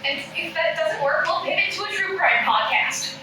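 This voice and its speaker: A woman's voice: very high-pitched, animated, moderate pace. A woman at a comedy table read playing an earnest, prim, self-deprecating podcast host who scolds in exasperation. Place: indoor room at a table read. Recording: roomy echo, distant mic, background noise.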